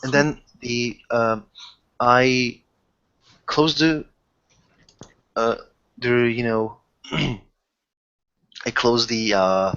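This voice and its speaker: A man who haltingly searches for words as he improvises in a casual tabletop roleplaying session. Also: mid-range voice, fairly even intonation, a bit bright, clear, resonant tone, highs cut off. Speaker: game master or player in a tabletop roleplaying session.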